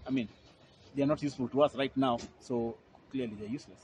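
A man's voice: medium pitch, quick pace, darkish tone. A man, a lawyer speaking to the press outdoors at an airport, indignant and emphatic, repeating phrases for force. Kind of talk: press interview. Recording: noisy outdoor field recording.

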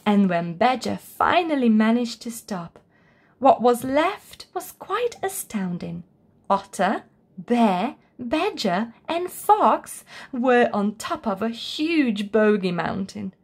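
A woman reads a story for small children. Her voice sits high and moves up and down with lots of expression. She takes her time, shaping each phrase deliberately. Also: very animated, husky. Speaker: storyteller reading a picture book aloud. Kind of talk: scripted children's story narration.

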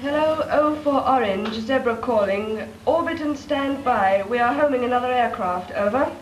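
A woman's voice: a little high, moderate pace, lightly acted. An actress playing a young female naval radio operator, speaking in clipped radio procedure. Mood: steady. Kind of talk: 1940s film acting. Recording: noisy, echoey 1940s film soundtrack.

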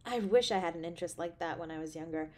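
A woman voice actor playing an affectionate, playful, caring girlfriend in an intimate roleplay audio drama, with a tender, expressive delivery. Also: fairly low pitch, fast talker, natural voice. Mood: delighted, admiring.

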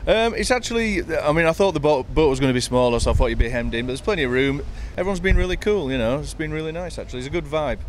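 A man's voice: on the high side, lively intonation, rapid-fire, hardly any pauses, slight background noise. Easygoing middle-aged British man. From United Kingdom. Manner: easygoing, filler-heavy. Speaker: musician. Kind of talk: casual interview. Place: outdoor deck of a cruise ship.

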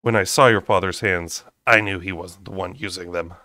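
A man voicing a reserved male fae lord in a storybook, measured and gentle. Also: low voice, steady tone, dry recording, studio quality. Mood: assertive. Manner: measured, soft-spoken.

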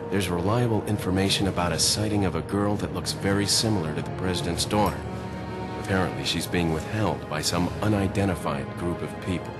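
A man plays a young American government agent with a very low voice. He speaks in a cool, understated way with a dry, wry edge, in the manner of a video game cutscene. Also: brisk, over loud music, lightly acted. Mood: brooding, matter-of-fact.

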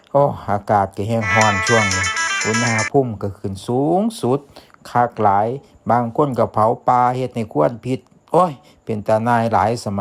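A man's voice: mid-range voice, close to an everyday voice. A man voicing a cheeky teenage village boy with an Isan accent, exclaiming to himself in a folk tale. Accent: Isan, northeastern Thai. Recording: echoey reverberant sound with music behind.

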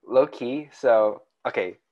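Calm-voiced young man chatting. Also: mid-range voice, takes it slowly, dull audio. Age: young adult. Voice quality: calm, soothing voice. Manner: rambling, with many restarts and fillers. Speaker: podcast co-host. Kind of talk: casual chat.

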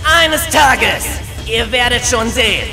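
A man's voice: very high-pitched, slow pace. A man voicing a naive, easily enraged young cartoon hedgehog with a strained, whiny, theatrical delivery. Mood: furious, ranting. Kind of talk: cartoon fandub. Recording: music behind the voice.

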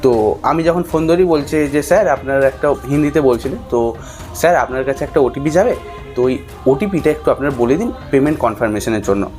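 A man's voice: mid-range voice, lively intonation, a little fast, darkish tone. A young man vlogger speaking unscripted to his viewers in an explanatory, cautionary way, with music behind him. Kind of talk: unscripted talk to camera, warning viewers.

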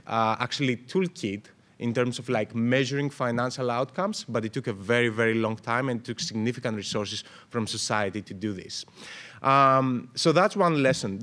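A man in his thirties, originally from Greece, speaks at a conference panel into a table microphone on a stand. His talk is loose and unscripted, full of "um"s, restarts and lively hand-waving, at a middling pitch for a man. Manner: animated, gestures a lot, many fillers and restarts. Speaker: panel moderator. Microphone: table mic on stand.

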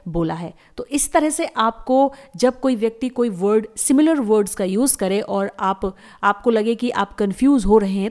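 A patient woman teacher talks over lesson slides. She repeats each word slowly and clearly so learners can follow, in an even, mid-pitched voice. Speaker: English teacher. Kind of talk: explaining lesson to camera viewers.

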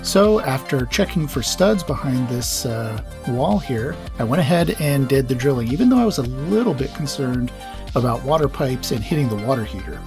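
A man's voice: medium pitch, lively intonation, normal speed, over music. A man hosting a hobby tutorial, explaining things step by step in a plain-spoken way. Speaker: host of an electronics hobby channel.

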